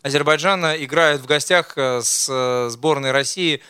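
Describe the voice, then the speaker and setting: A man's voice: a little high, moderate pace, sharp tone, clear, resonant tone, no room sound, clean studio sound. A man hosting a casual football chat, rambling and teasing, with many hesitations. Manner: rambling, teasing, full of fillers. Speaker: host.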